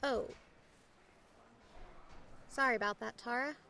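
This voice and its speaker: Bright, high-voiced teenage soccer girl acted by a woman. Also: slow pace, gravelly, slight background noise, full character voice. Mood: concerned, chatty. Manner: chatty, earnest, probing. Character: excitable, concerned teenage girl soccer player and friend. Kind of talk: audio drama acting, naturalistic dialogue.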